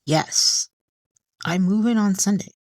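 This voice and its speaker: Lady doing a classy guarded singer woman for an audio drama, measured n polite, real dry sound. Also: mid-range voice, no echo, pitched above the performer's own voice, lightly acted. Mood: firm. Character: poised proud singer, outwardly gracious, inwardly wary woman.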